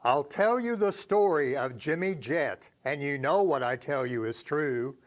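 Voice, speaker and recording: A man reciting poems for a reader's theater in a measured, expressive storytelling way. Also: fairly high pitch. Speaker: poetry reader.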